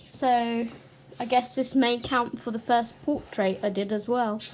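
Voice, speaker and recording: A young woman with a slightly high voice talks casually to her camera about her hobby, her voice croaky and a little short of breath. She speaks slowly and reflectively, stopping now and then to clear her throat. A faint background hiss runs under her voice. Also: steady tone. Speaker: colouring channel host.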